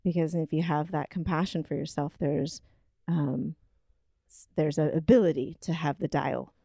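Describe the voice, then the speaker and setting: A woman with a low, gravelly voice muses through ideas in relaxed conversation. She pauses and fills gaps as she works out her thoughts. The sound is dry and close, with no room echo. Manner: thoughtful, searching, with many fillers. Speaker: podcast co-host. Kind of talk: casual chat. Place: home kitchen table.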